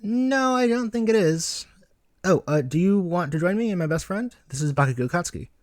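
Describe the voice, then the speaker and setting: A man's voice: high voice, animated, brisk, no room sound, clean studio sound, pitched above the performer's own voice. A man voicing a kind, eager young boy in a story, speaking politely and earnestly. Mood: concerned, friendly.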